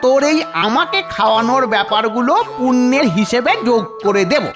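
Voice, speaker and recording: A woman's voice: on the high side, fairly quick, natural voice. A woman voicing a sly, greedy male celestial bookkeeper in a cartoon, scheming and repeating words. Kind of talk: children's cartoon voice acting. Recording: music behind the voice.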